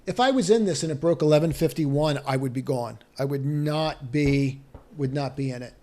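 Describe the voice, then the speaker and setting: Man, slightly high voice, stock chart walkthrough, cautious, restarts a lot, asks "right?". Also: normal speed, warm tone. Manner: cautious, thinks aloud with frequent restarts and rhetorical "right?". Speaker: stock market analyst. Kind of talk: screen-share chart walkthrough.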